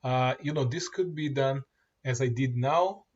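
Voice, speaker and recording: Male presenter, native Portuguese speaker, demoing software, mid pitch, halting delivery, muffled recording. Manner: halting, many fillers and restarts. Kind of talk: screen-share product demo.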